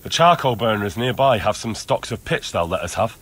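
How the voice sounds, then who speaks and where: A man's voice: lowish voice, sing-song, brisk, very noisy. A man playing an earnest young squire in a medieval video game. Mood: matter-of-fact. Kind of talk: video game dialogue acting.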